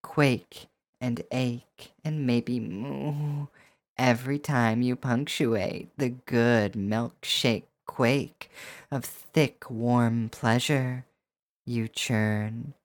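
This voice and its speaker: Slow, dry-sounding coaxing adult hypnosis voice. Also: medium pitch, steady tone, no room sound. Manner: coaxing, teasing, with rhyming, repetitive phrasing. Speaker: erotic audio performer. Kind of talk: slow, scripted erotic hypnosis monologue addressed to the listener.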